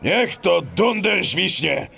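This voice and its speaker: Creaky, grumpy police chief. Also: male voice, sits high, animated, slow pace, sharp tone, faint music behind, exaggerated voice. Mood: grumbling. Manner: gruff, exasperated, colourful old-fashioned scolding. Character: grumpy, irascible older male police chief in animal detective story. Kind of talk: children's audio drama acting.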